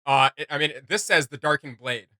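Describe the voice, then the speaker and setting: A man chatting casually as a reaction video host, excitable and prone to sudden bursts of volume. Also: on the high side, fairly quick, no echo.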